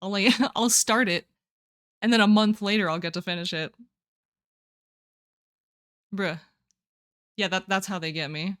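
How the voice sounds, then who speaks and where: A woman's voice: mid-range voice, measured pace, pauses often. A young woman streaming live, chatting casually with her viewers, rambling and laughing often as she answers chat. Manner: giggly, rambling, excitable.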